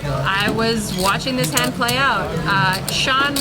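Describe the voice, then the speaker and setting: A woman's voice: on the high side, takes it slowly, loud music behind the voice, a loud crowd. A woman from Poland commentating a live poker stream, chatty and giggly as she reads out greetings from the chat.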